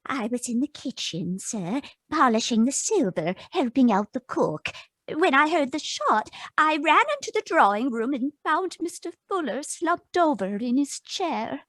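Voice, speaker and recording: A woman's voice: high-pitched, sing-song, unhurried, fairly bright tone, no echo, heavily acted. A woman playing a formal, deferential housekeeper in an old-time radio mystery. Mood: earnest, shaken. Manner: formal, dutiful. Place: remote video call performance.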